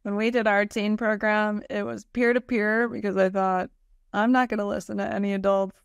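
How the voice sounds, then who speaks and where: Middle-aged woman podcast host, creaky clear voice. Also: mid-range voice, flat delivery, normal speed, no echo, studio quality. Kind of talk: conversational interview. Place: indoor room, video call. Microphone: desk microphone, close.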